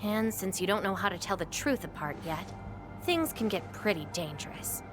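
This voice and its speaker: A woman's voice: mid-range voice, animated, normal speed, natural voice. Woman as composed, enigmatic game warrior over music. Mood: cool, knowing. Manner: composed, knowing, slightly formal. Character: self-assured enigmatic young woman warrior, coolly teasing. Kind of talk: video game cutscene acting.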